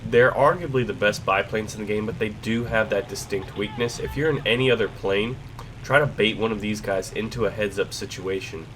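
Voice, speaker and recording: A young man with a cold talks through his gameplay in a chatty, self-mocking way, his voice congested and fairly low. He clears his throat often. A faint drone of game engines sits behind him. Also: brisk, a bit bright, slight background noise, rough recording. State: stuffed up with a cold. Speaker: gaming channel host. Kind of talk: casual gameplay commentary.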